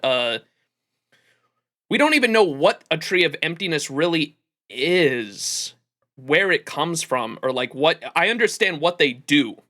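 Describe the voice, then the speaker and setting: Bright-voiced man hosting a stream. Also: fairly high pitch. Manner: animated, sweary, rambling. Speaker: livestream host. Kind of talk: casual gaming stream commentary.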